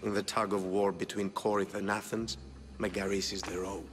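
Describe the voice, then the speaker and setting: A man playing a smooth-talking, calculating rich patron in a video game cutscene, measured and coolly condescending. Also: on the low side, level tone, natural voice. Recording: long reverb, background music.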